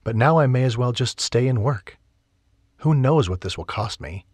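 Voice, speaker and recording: A man voicing a stressed, stubborn young woman, raising his pitch and speaking emphatically. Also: lively intonation, moderate pace, a little mellow, slightly rough, no echo. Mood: upset.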